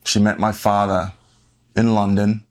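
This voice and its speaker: A man's voice: on the low side, level tone, a little slow. A man in his forties with an Australian accent, a podcast guest telling his life story in a rambling, self-correcting way. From born in the US, raised in Western Australia. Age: 40s. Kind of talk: casual interview talk.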